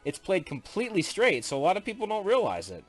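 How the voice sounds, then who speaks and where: A man explains points in a matter-of-fact way, and his pitch rises and falls with lively swings. Faint music plays behind him. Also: fast talker, husky. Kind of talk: casual spoken commentary.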